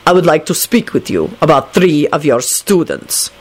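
A storyteller voicing a haughty, icy gentleman in an audiobook story, speaking in a formal, grave, measured way. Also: mid-range voice, lively intonation, husky. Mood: disapproving, haughty.

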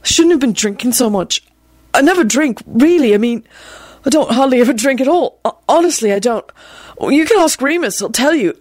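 A woman's voice: mid-range voice, expressive, moderate pace, a little mellow, no room sound, natural voice. A woman voicing an awkward, earnest young man in a storybook reading, hesitant and apologetic. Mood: desperate apology.

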